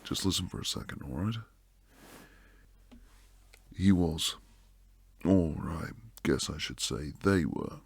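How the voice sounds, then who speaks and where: A man playing a protective, devoted bodyguard and secret lover in an intimate boyfriend roleplay, low and husky, speaking earnestly close to the mic. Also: takes it slowly, pauses often, a little mellow, dry recording, full character voice. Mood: urgent, reassuring. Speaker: audio roleplay performer. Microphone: close, dry studio mic.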